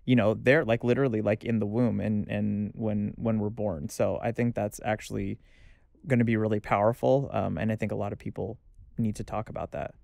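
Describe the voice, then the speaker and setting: A man co-hosting a podcast, speaking in a reflective, soft-spoken way in casual conversation, recorded on a studio boom mic in a small podcast studio. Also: flat delivery, brisk, gravelly, no room sound. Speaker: podcast co-host. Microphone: studio microphone on boom arm.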